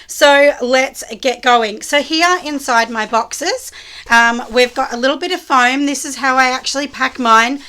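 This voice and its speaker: Husky, hoarse woman with a bright voice. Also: high voice, measured pace. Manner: chatty, talks straight to the viewers. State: hoarse from a cold. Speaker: soap maker running a small business. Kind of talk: casual chat while packing orders. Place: small indoor studio. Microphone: camera set low on a surface.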